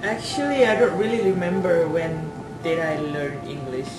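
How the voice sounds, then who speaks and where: A woman's voice: on the low side, moderate pace, over music. A young woman from Indonesia, a student, answering casually and frankly in a class discussion. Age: young adult. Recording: echoey classroom from a distance with chatter.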